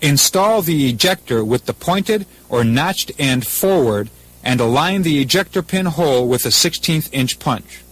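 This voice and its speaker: A man's voice: fairly high pitch, expressive, a little slow, fairly bright tone, rough recording. A man with an American accent reading scripted instructions as a 1980s military training film narrator, in a formal, methodical, impersonal manner.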